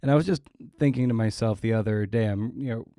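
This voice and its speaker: A man's voice: fairly low pitch, fast talker, dark tone, dry recording, studio quality. A man hosting an interview podcast, talking casually and reflectively, often circling back and restarting mid-thought.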